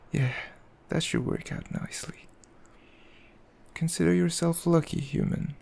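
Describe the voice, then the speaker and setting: A man playing a cruel, possessive demon king in an ASMR roleplay, speaking in a haughty, mocking, measured way with a gravelly voice. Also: a little high, darkish tone, heavily acted. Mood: possessive amusement. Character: cruel, possessive male demon king, coldly amused, condescending. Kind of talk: ASMR roleplay, slow intimate villain monologue.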